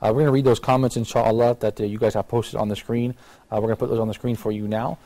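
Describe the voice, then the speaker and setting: A man's voice: mid-range voice, level tone, fast talker, dark tone. An American man hosting a talk show in a casual, conversational style. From United States. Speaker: live talk show host.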